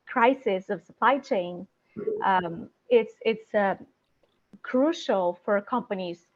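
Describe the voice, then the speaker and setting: Muffled woman guest weighing her words. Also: medium pitch. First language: not Spanish; speaks several languages. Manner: thoughtful, halting speech with many fillers, laughs readily. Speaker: procurement specialist, interview guest. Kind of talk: career interview. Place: San Diego area.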